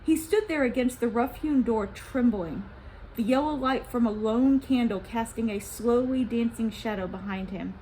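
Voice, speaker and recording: A woman reading a story for children in an expressive, dramatic and suspenseful narration. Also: on the high side, normal speed, a little hiss or hum, rough recording.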